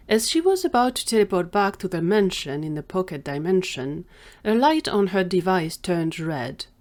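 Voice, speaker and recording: Lower-pitched woman narrating a story. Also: a little mellow. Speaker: narrator of an audio drama.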